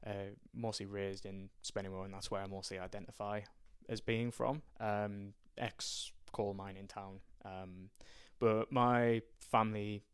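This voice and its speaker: A man's voice: fairly low pitch, flat delivery, unhurried, few pauses, clean tone. A young man from County Durham, a podcast guest talking about his background in a hesitant way, with many ums and restarts. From County Durham, England. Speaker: strength and conditioning coach, guest. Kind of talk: casual podcast interview.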